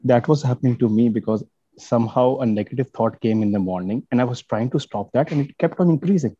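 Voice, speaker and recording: A man's voice: mid-range voice, quick pace, dark tone, breathy edge, dry recording, highs cut off. A man, asking questions hesitantly with fillers over a video call. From India. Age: 30s.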